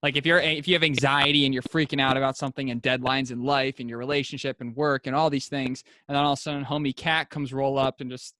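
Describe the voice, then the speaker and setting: A man's voice: medium pitch, little pitch movement, fast talker, hardly any pauses, sharp tone, dry recording. A man hosting a casual podcast, telling stories in a laid-back, slangy way. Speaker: podcast host.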